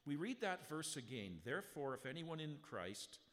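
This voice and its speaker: A man preaching a sermon from the pulpit, talking with the congregation in a conversational way and slowing right down when he reads scripture aloud. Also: on the high side, very animated, fairly bright tone. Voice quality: strong voice. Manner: conversational preaching with rhetorical questions; slow, emphatic scripture reading. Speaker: pastor. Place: church sanctuary. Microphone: handheld or stand mic, sound adjusted live. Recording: long hall reverb.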